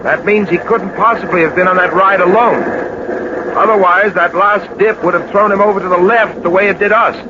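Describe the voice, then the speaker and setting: An actor playing a shrewd, commanding gentleman detective in a 1940s radio drama, speaking in a brisk, probing, authoritative way. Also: high-pitched, fairly even intonation, lightly acted. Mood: intent, grave. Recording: noisy, muffled 1940s radio recording.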